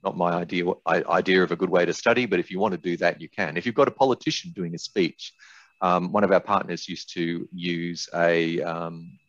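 A man's voice: low voice, fairly even intonation, a little fast, fairly bright tone. An older man gives an explanatory webinar presentation in an informal, candid way. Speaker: software company presenter. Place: indoors, small room on video call. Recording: slightly dull, roomy webinar audio.

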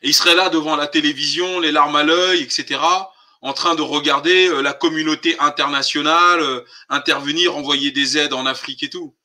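A man's voice: fairly high pitch, fairly even intonation, a little fast, few pauses, sharp tone. A man hosting a political live stream, talking colloquially and insistently, with emphatic rhetorical questions. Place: online group call.

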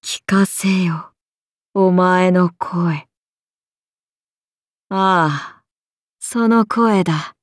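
A woman's voice: lowish voice, very slow, pauses often, dry recording, clean studio sound. A woman voicing a haughty, amused and predatory ancient serpent-god oni in a game, speaking in archaic, imperious, languid phrases. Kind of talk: Japanese game character voice lines.

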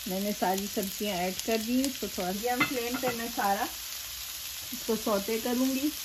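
A woman cooking show host patiently walking viewers through a recipe step by step in her home kitchen. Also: a little high. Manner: patient, repeats steps, addresses viewers directly. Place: home kitchen, voice over stovetop sizzling. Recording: audible frying and stirring noise.

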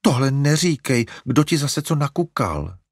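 Male reader doing a high-pitched flustered mum, coaxing, voice jumping around. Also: big pitch swings, breathy edge, no echo, studio quality, heavily acted. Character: fussy, fretful middle-aged mother.

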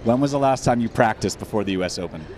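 A man's voice: lowish voice, animated, fast, darkish tone. A young man hosts a live sports pregame show, speaking into a handheld mic. He is upbeat and talks up his guests. Faint noise sits in the background. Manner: upbeat, hyped introductions. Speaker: host. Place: studio set at a convention center.

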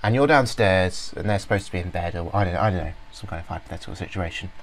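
A man casually talking through a software demo, rambling with many fillers and restarts. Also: low-pitched, fast talker. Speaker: software reviewer. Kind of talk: informal screen-recorded walkthrough.

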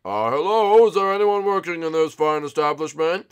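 A man voicing a childish boy who pretends to be a grown manly man, halting, formal and self-important. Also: fairly high pitch, smooth, pitched above the performer's own voice, lightly acted. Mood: pompous, nervous.

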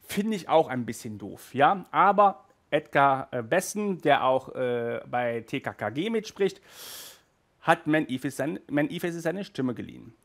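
A man in his thirties giving a chatty, animated video review from a small room, speaking into a headset mic. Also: mid-range voice, measured pace, vocal fry. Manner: chatty and animated, gestures with hands. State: hot and sweaty in a stuffy room. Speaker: audio drama reviewer. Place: small room with toy shelves, indoors.

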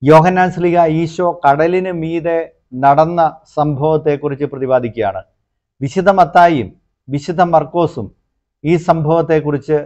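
A middle-aged priest, giving a measured homily that explains scripture in short, paused phrases. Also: male voice, fairly high pitch, a little mellow. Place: small indoor room, plain backdrop. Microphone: clip-on lapel mic. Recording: slightly dull, lo-fi lapel recording.

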